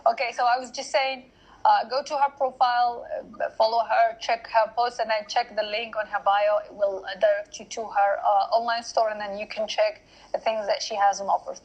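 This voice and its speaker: A woman's voice: a little high, flat delivery, moderate pace, dull audio. A woman hosting a live online interview, chatty and laughing easily as she repeats directions to viewers. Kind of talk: casual live-stream hosting. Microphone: online live video call.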